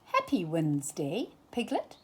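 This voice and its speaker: A woman voicing a gentle, honey-loving male bear in a children's story, with a soft, hesitant delivery. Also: on the low side, wildly swooping pitch, unhurried, husky, voice pushed down, full character voice.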